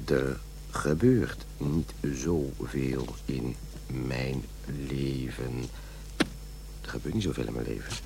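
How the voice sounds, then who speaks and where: A man's voice: a bass voice, slow pace, gravelly, mellow, slight background noise, exaggerated voice. An actor playing a fussy, self-important man who dictates his journal and mutters corrections, in a radio play. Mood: pompous, hesitant.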